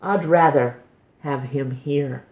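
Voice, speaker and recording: A woman reading a children's picture book aloud in a measured, gently expressive way. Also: low-pitched. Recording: roomy, echoing indoor sound.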